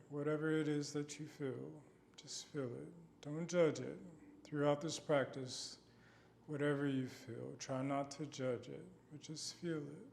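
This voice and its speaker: A man guiding a body scan meditation in a gentle, unhurried manner with long pauses. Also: medium pitch, gravelly, a little hiss or hum. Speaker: meditation guide.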